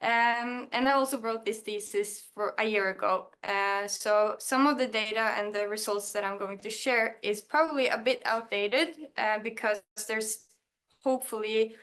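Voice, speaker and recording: A young woman from Norway with a Norwegian accent, giving a conference talk in her second language, hesitant with many pauses and restarts. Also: fairly high pitch, fairly bright tone. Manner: hesitant, many fillers and restarts, self-deprecating. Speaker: conference speaker. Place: conference hall.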